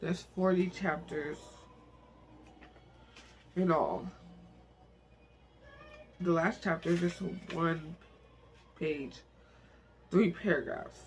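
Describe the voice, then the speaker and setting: A man reading a novel aloud in an informal, emotional, soft storytelling manner, now and then speaking in asides. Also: fairly high pitch, extremely slow, long pauses, soft background music, low-quality recording. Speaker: amateur book reader and channel host.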